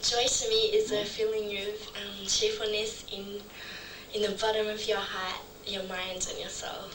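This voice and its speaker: A thoughtful woman from Australia answering slowly, with fillers. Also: mid-range voice, level tone. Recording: distant, roomy, noisy recording.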